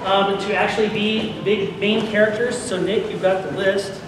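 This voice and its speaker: Male event presenter, high voice, informal instructions to a crowd, distant in a noisy hall. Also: level tone, normal speed. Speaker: presenter directing an audio drama recording. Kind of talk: informal live instructions to an audience. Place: large convention hall. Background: room noise and ambience.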